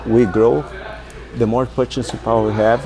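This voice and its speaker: A man's voice: mid-range voice, little pitch movement, normal speed. A middle-aged man from Brazil, a restaurant owner answering interview questions with many fillers and restarts. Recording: noisy on-location restaurant recording.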